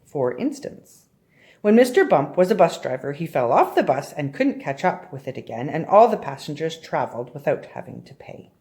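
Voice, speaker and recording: A woman's voice: low voice, big pitch swings, moderate pace, a little mellow. A woman reading a children's story aloud expressively, often turning to address young listeners directly with questions. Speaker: storybook reader.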